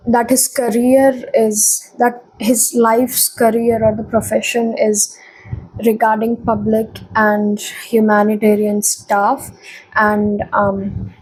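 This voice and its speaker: A woman doing a tarot reading to camera, feeling unwell and speaking lower than usual, soft and hesitant with frequent pauses. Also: a little hiss or hum, rough recording. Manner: soft, hesitant, with frequent ums and repeated phrases. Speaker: tarot reader.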